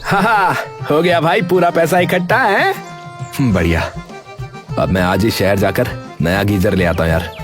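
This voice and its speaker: A man's voice: mid-range voice, a little slow, lightly acted. A man voicing a scheming, easily irritated young village man in a cartoon, with exclamatory colloquial speech. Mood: pleased, eager. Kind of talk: cartoon voice acting. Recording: music behind the voice. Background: music.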